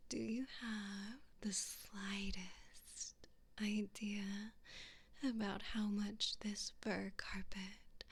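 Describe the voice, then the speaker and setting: A woman's voice: medium pitch, heavily acted. A woman playing a haughty, cruel evil queen in a whispered ASMR roleplay, imperious and condescending toward those beneath her. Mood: indignant, disdainful. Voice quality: breathy whisper. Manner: slow, imperious, condescending, drawn-out phrasing.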